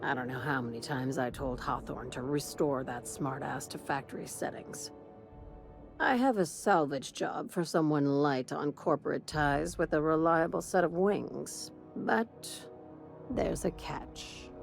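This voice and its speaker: A dry, low-voiced executive. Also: female voice, measured pace, vocal fry, faint music behind. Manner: dry, businesslike. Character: confident, sardonic female executive. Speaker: company chief executive.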